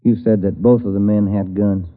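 An actor playing an informal, plain-spoken police detective, a junior partner in a 1940s radio drama. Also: male voice, on the low side, steady tone, moderate pace, narrow, tinny audio, close to an everyday voice. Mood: matter-of-fact. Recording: band-limited vintage 1940s radio recording.

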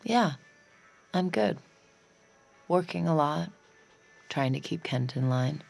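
An actress playing a guarded, wry adult daughter in a naturalistic audio drama, speaking in an understated, conversational way. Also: female voice, low voice, slow, creaky, a little mellow, faint music behind, full character voice. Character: guarded, wry adult daughter, a recovering addict longing for closeness. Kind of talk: naturalistic audio drama acting with confiding narration.

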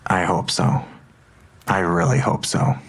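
A man playing a weary, hesitant police detective and father nearing retirement in an audio drama, with a husky voice. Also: low-pitched, measured pace. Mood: weary, guarded. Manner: hesitant, subdued.

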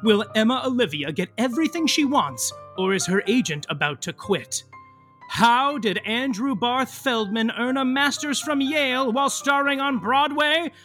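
A man's voice: very high voice, expressive, normal speed, pushed and bright, faint music behind, heavily acted. A man playing a mock-grandiose, tongue-in-cheek narrator of an audio soap opera, delivering scripted narration theatrically. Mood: mock suspense. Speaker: narrator reading recap and credits.

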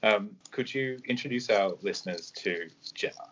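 A man's voice: fairly low pitch, normal speed, fairly bright tone, dull audio. A man co-hosting a podcast, asking questions hesitantly with many ums and restarts. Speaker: podcast co-host. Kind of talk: interview questions.

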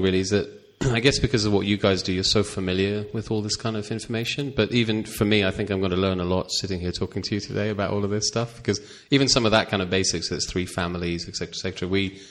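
Deep-voiced man hosting a podcast. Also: a bit bright. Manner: casual, chatty, many fillers and asides. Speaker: podcast host, veterinarian. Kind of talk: podcast interview.

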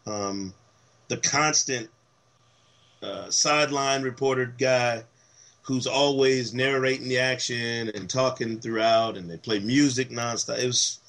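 A man with a slightly hoarse, worn voice co-hosts a casual sports podcast. He tells stories with animated enthusiasm, full of fillers and restarts, at an everyday middle pitch. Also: a little slow. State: voice worn from travel. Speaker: podcast co-host.

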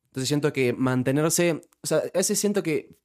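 A man's voice: on the high side, expressive, moderate pace, darkish tone. A young man from Uruguay with a Uruguayan accent, a guest in a casual podcast interview who talks with many restarts and fillers. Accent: Uruguayan Spanish. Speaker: youtuber guest.

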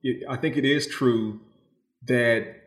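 A man's mid-pitched voice comes with clear room echo. He is an interview guest from New Orleans, a novelist explaining his ideas in a measured, reflective way. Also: fairly even intonation. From New Orleans, United States. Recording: roomy, echoing sound.

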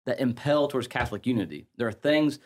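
English Midlands priest, middle-aged, loose chatty explainer, restarts a lot. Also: male voice, mid-range voice, fast. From England. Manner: chatty, many fillers and restarts, laughs easily. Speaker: priest guest. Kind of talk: conversational explanation.

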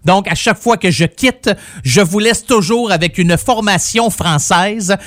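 A man's voice: high-pitched, measured pace, a bit bright, no echo, studio quality. A middle-aged man with a Québécois accent hosting a rock music radio show, chatting in a casual, playful, rambling way. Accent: Québécois French. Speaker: radio host.

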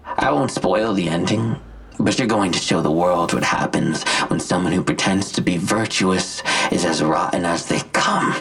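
Menacing male villain, audio drama. Very husky, crackling voice, taunting and sure of himself. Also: moderate pace, fairly bright tone, faint music behind, heavily acted. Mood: cool menace. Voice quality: gravelly, husky low voice.

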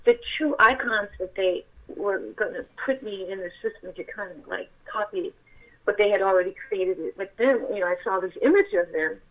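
An actress with a childlike voice telling a personal story with feeling, at times close to shouting. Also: female voice, medium pitch, dull audio. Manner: animated, swells to near shouting at intense moments. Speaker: actress telling her testimony.

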